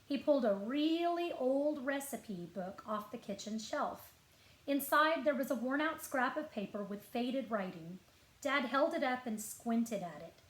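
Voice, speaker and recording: A woman reading a children's storybook aloud expressively, like a school librarian, with dramatic pauses and stretched words for emphasis. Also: high voice, animated. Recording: echoey, roomy sound.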